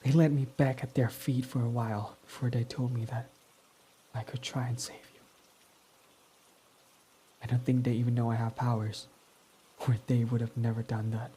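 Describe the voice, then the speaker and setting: Male performer as a young tsundere villain, gruff but smitten, mellow tone, middle pitch. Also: little pitch movement, normal speed, long pauses, slight background noise, lightly acted. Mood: quiet, confessing. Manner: defensive, swears when flustered. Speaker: ASMR roleplay performer. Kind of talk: ASMR roleplay.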